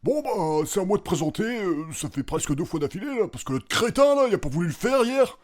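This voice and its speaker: A man's voice: sits high, sing-song, fairly quick, soft background music. A man acting a grumpy, insolent presenter who talks bluntly and offhandedly in a comic audio-drama skit. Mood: irritated.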